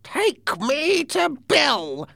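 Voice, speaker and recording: A man voicing a sinister, commanding, ugly blind beggar in a high, creaky, strained voice for a storybook. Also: wildly swooping pitch, sharp tone, no room sound, exaggerated voice. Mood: menacing. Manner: slow, drawn-out commands.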